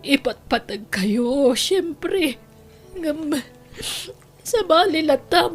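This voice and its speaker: A woman's voice: high voice, darkish tone. A woman playing a long-suffering, betrayed wife and mother in an Ilocano radio drama, emotional and pleading.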